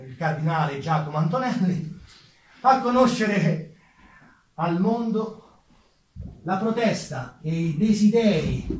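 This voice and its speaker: A man's voice: fairly high pitch, a little mellow, slight background noise. A man delivering dramatic theatrical narration of history, declamatory and emphatic. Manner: declamatory, emphatic, measured pauses. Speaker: stage actor, narrator. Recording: roomy, distant stage sound with music.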